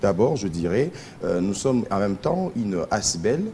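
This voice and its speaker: A Congolese man speaks as a charity guest on a television show. His voice is deep and dark, and he moves haltingly, searching for words. A slight low noise sits under the studio recording. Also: sing-song. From Kisangani, DR Congo. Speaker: charity association representative, TV guest. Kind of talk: spoken interview answers.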